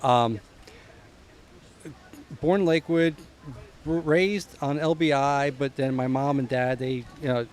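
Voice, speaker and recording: A man's voice: mid-range voice, steady tone, slow pace, pauses often, a little mellow. A middle-aged man from New Jersey, chatting as a podcast guest, telling long stories, dropping names and trailing off with fillers. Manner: rambling storyteller, drops names, trails off with "uh". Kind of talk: casual chat.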